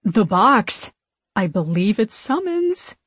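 A woman's voice: mid-range voice, sing-song, a little mellow, slightly rough, no room sound. Woman acting a timid, eager witch sister. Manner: hesitant, hurried, pleading.

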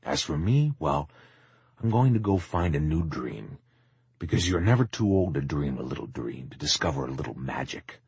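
A man voicing a kindly, dreamy old shopkeeper, gentle, encouraging and wistful, in an audiobook. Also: very deep voice, big pitch swings, normal speed, breathy edge, dry recording, full character voice. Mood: warm, wistful. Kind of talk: audiobook character acting.

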